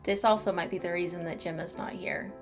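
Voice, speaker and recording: A woman's voice: on the low side, steady tone, brisk. A woman hosting a gameplay video with casual, chatty commentary and wry asides. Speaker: gaming channel host. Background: game music.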